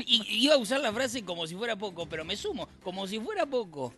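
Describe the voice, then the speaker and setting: A man's voice: high-pitched, very animated, fast, a bit bright, faint music behind. A man with a Rioplatense accent hosting a casual sports chat, steering the topics with energy. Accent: Rioplatense Spanish.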